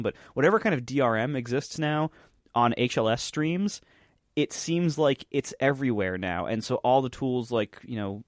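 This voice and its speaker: A hoarse man hosting a podcast, chatting casually, rambling with many fillers and frequent laughs. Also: medium pitch, no echo, clean studio sound. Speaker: podcast host. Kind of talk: casual chat.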